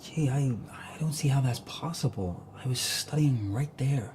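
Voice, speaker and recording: A man's voice: mid-range voice, unhurried, a little mellow, natural voice. An actor playing an awkward, wisecracking young male graduate student in a radio drama, stammering and self-deprecating. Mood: nervous, eager. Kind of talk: naturalistic radio drama acting. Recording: radio drama with background noise.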